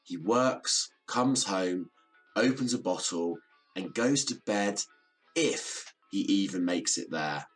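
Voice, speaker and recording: A man's voice: low voice, lively intonation, slow pace, soft background music, low-quality recording. A man playing a reserved, downbeat young British man, weary and bitter, in an audio drama. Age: young adult.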